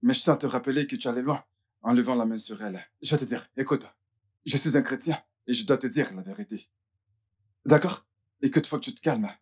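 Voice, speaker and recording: An actor dubbing a family doctor who calms his friend, repeating himself insistently. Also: medium pitch, brisk, breathy edge, lightly acted. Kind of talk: dubbed film acting.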